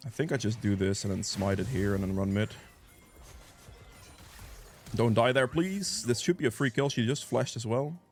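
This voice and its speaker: A man's voice: medium pitch, normal speed, pauses often, darkish tone. A man giving casual live commentary on a video game as he plays, thinking aloud and reacting as he goes, sniffly with a cold. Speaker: gameplay guide creator and player.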